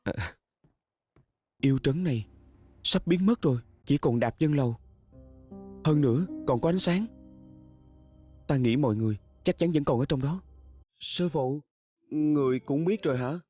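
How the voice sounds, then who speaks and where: Eager, deferential young male attendant, played by a man, fantasy costume dub, hesitant delivery, higher register, light score underneath. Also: normal speed, pauses often, warm tone, soft background music, close to an everyday voice. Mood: earnest. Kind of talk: dubbed fantasy costume drama acting.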